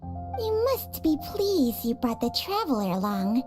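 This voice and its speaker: A woman's voice: high voice, big pitch swings, unhurried. A woman voicing a sly, haughty snake companion in a video game, dry and knowing. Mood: wry. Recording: music playing behind.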